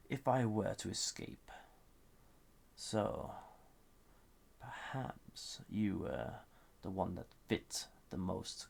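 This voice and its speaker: A man playing a banished, dangerous villain in a roleplay audio drama, flirty and condescending, murmuring smugly in a husky, gravelly voice. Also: deep voice, heavily acted.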